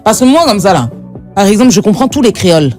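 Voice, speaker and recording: A woman talks on a radio show with a lively, swooping voice and often breaks into laughter as she tells her stories. Soft music plays under the conversation. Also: medium pitch, quick pace. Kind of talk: casual radio chat.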